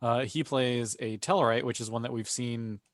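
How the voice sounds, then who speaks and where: A man's voice: mid-range voice, fairly even intonation, moderate pace, no echo. Dry-sounding man explaining things on a podcast. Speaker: podcast co-host. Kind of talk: casual panel chat.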